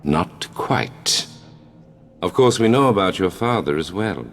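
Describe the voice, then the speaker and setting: A suave English spymaster with a very deep voice and lively, expressive intonation. He is dry, condescending and menacing, a video game villain-mentor heard in long reverb. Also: slow pace, soft background music, exaggerated voice. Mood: coolly menacing. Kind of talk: dramatic video game acting.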